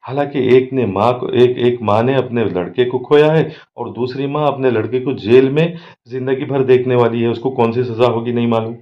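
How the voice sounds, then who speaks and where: Man, Indian accent, lower-pitched, crime commentary, English words mixed in, muffled lo-fi recording. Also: steady tone, normal speed, hardly any pauses. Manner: mixes in many English words. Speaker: advocate and social activist, channel host. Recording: dull lo-fi microphone.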